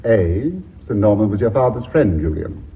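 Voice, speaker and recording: An actor playing a grave, firm, loyal board member in a radio drama, earnest and emphatic. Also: male voice, lowish voice, lively intonation, normal speed. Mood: insistent. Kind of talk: British radio drama acting. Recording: thin, phone-like old radio recording.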